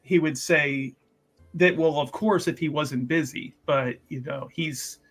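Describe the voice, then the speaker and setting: A man's voice: fairly high pitch, a little slow, a bit bright, soft background music. A man playing a tabletop roleplaying game, rambling in self-assured, improvised banter and casual table talk.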